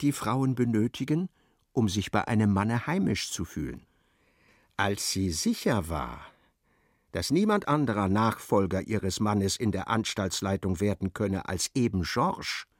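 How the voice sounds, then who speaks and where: A man narrating a literary radio drama in a measured, articulate, storytelling way. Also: on the low side, sing-song, no room sound, clean studio sound.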